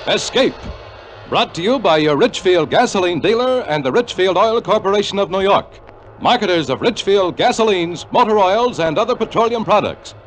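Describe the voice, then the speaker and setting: A man's voice: high-pitched, lively intonation, normal speed, a little hiss or hum. A man working as a 1950s radio announcer, reading a scripted programme and sponsor introduction. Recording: vintage radio recording with music.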